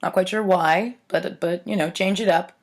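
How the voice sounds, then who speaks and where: A woman's voice: lowish voice, fairly even intonation, normal speed. A woman reading stories aloud to viewers, theatrical in the reading with wry, casual, offhand commentary. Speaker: host reading aloud childhood writing.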